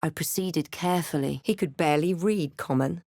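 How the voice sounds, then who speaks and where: A woman playing a wary, wry adventuring hero in a fantasy video game, speaking scripted lines in a measured, dramatic way. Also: deep voice, a little mellow, no echo, clean studio sound. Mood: grave narration. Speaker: video game player-character voice actress.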